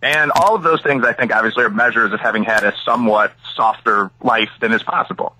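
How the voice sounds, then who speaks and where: A man's voice: medium pitch, a little fast, pushed and bright, slight background noise, rough recording. A man from the Chicago area, an author and academic, answering interview questions expansively and thinking aloud with frequent asides. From Chicago area, USA.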